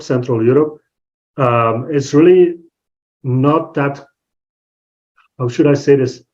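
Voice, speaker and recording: A man's voice: medium pitch, darkish tone. Podcast guest, man in his 40s from Belgium, thoughtful and searching for words, slightly dull lo-fi audio. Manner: thoughtful, restarts often while searching for words. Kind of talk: casual interview chat.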